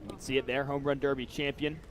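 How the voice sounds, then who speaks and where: A man's voice: medium pitch, little pitch movement, quick pace, a little hiss or hum. A man doing live baseball play-by-play commentary as the announcer.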